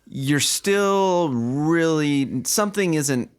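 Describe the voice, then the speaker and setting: A man co-hosting a podcast, chatting casually about video games in slangy, halting phrases with repeated words. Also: on the high side, lively intonation, clear, resonant tone. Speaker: podcast co-host.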